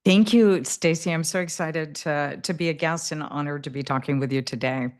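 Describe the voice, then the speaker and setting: A woman's voice: low voice, normal speed, a little mellow. A middle-aged woman from the American Midwest, answering interview questions in a thoughtful way, with many fillers and repeated words. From Midwest US, Indiana. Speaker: podcast guest.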